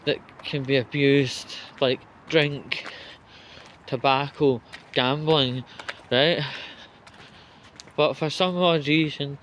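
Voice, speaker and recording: A man giving an off-the-cuff commentary rant, sweary and sardonic, speaking in short halting phrases. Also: a little high, fairly bright tone, a little hiss or hum. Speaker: vlogger giving political commentary.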